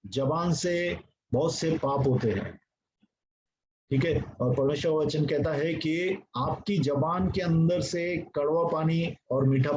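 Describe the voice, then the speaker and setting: A man's voice: mid-range voice, a little mellow. A male pastor preaching an earnest sermon, speaking directly to his congregation and slipping English phrases into his talk. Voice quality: mixes English phrases into speech. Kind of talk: sermon teaching. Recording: dull lo-fi recording with faint noise.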